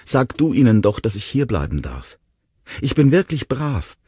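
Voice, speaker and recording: A small boy in an audiobook story, acted out by a man, whimpering and imploring. The voice is lifted well above the reader's own, with a husky edge and a close, dry sound. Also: medium pitch, big pitch swings, normal speed, darkish tone, no echo, pitched above the performer's own voice. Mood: whimpering, pleading. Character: small tearful boy pleading with his father.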